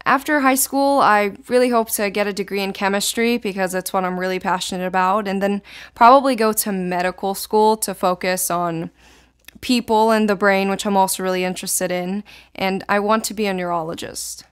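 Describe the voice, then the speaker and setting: A teenage girl from Israel, a native Hebrew speaker, answers interview questions casually. Her voice is smooth and clean, at a middling pitch for a female speaker. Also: steady tone, normal speed. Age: teens. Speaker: student interviewee.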